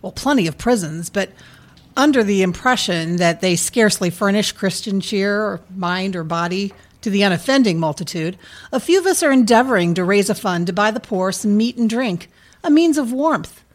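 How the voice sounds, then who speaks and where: A pleasant, well-bred lady collecting for charity played by a woman in a radio play. Her voice sits at a middle pitch, with formal, courteous phrasing and an earnest appeal. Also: animated, normal speed, close to an everyday voice. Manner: polite, formal. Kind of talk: live radio play reading.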